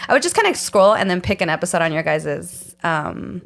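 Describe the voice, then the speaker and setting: A woman's voice: lowish voice, big pitch swings, a little fast, creaky, a bit bright. A woman hosting a casual podcast, chatty and enthusiastic, rambling a little as she talks. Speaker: podcast host.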